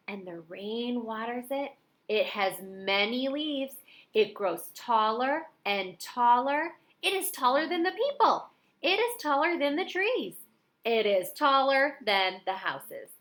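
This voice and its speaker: Female storybook reader, middle-aged, slightly high pitch, expressive delivery for young listeners. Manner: expressive, with dramatic emphasis. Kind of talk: children's picture book read-aloud.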